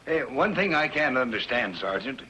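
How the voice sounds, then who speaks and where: A man's voice: mid-range voice, big pitch swings, moderate pace, pushed and bright, a little hiss or hum. A man playing a humble, friendly miner in a 1950s television adventure, speaking in a homespun, hesitant way. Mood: grateful, friendly. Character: grateful, kindly gold miner, friendly and humble.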